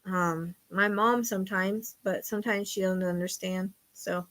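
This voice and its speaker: A middle-aged woman with a loud voice, chatting casually with online viewers as a psychic show host, rambling and confiding and trailing off quietly at the ends of thoughts. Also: medium pitch, steady tone, measured pace. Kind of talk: casual chat.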